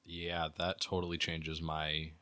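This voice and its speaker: A man's voice: sits low, monotone, a little slow, sharp tone, smooth. A man acting as a podcast producer, casually reading out quiz questions and chatting with dry, wry remarks.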